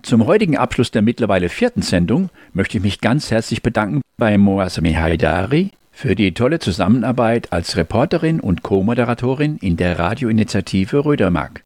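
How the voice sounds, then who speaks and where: A man's voice: fairly low pitch, big pitch swings, fairly quick, few pauses, a little mellow, no echo, studio quality, soft background music. A man hosting a local community radio show, reading announcements and thanking his team in a friendly way. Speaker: radio host.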